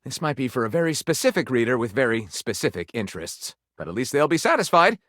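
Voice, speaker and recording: Theatrical, animated male storyteller. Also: medium pitch, fast, no echo, studio quality. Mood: musing, dramatic. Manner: theatrical, flamboyant, dramatically announcing titles. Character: theatrical, enthusiastic male book author who pitches stories. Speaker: video game voice actor. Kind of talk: scripted dating-sim game character dialogue.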